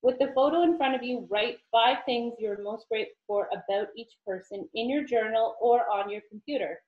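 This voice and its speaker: Higher-voiced woman in an echoey, dull recording. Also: moderate pace. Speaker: guest reader in an inspirational group.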